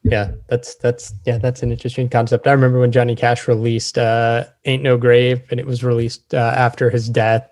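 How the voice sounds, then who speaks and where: Mid-pitched man hosting casually. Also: level tone, normal speed, few pauses, darkish tone. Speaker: podcast host, interviewer. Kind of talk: casual interview chat.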